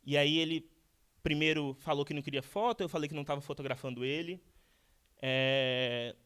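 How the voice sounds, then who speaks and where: A man's voice: fairly high pitch, normal speed, fairly bright tone, clean tone. A Brazilian man in his thirties giving a talk as an architect, in a conversational way with many restarts and repeated words. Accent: Brazilian Portuguese. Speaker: guest speaker, architect. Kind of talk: lecture told as casual conversation.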